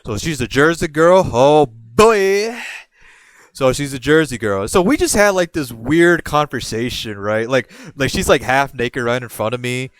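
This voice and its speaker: A man's voice: mid-range voice, a little slow, no echo. A young man from Puerto Rico hosting a podcast, telling a rambling story casually and jumping into quoted voices. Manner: animated, jumps into quoted voices, rambles and restarts. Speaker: podcast host.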